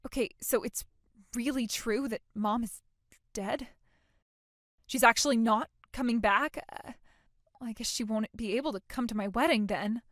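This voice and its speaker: A woman's voice: a little high, moderate pace, long pauses, fairly bright tone, no room sound, studio quality, lightly acted. A woman playing a self-centered young bride, whiny, oblivious and entitled, in a dramatized story. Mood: sulky disbelief. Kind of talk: dramatized phone-call story dialogue.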